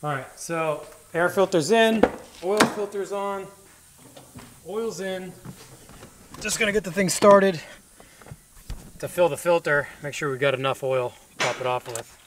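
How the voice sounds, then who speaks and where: Energetic man vlogging in a garage. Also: high voice, noisy background. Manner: energetic, fast talking, chuckles at himself. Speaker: car builder and vlog host. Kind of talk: casual on-camera vlog. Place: indoor tire workshop garage.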